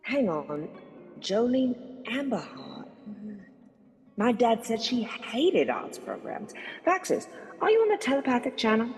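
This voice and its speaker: Savvy female task force commander, brisk and wry, expressive pitch, reverberant hall, fantasy audio drama. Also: faint music behind.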